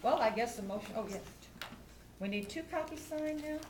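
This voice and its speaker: A woman's voice: a little high, lively intonation, normal speed. An older American woman, a mayor chairing a public meeting and making informal remarks. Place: indoor council meeting room. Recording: echoey meeting room with background noise.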